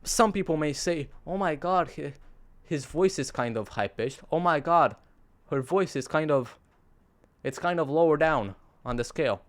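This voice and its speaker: Teen guy with a deep radio voice rambling earnestly to camera, sarcastic asides, restarts a lot. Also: animated, normal speed. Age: teens. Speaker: vlogger. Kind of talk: casual monologue to camera.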